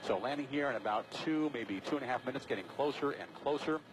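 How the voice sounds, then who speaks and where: Fast-talking American man reporting from the air. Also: mid-range voice, a crowd in the background, rough recording. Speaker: helicopter news reporter. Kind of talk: live breaking news commentary. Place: aboard a news helicopter in flight. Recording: steady aircraft noise, outdoor broadcast feed.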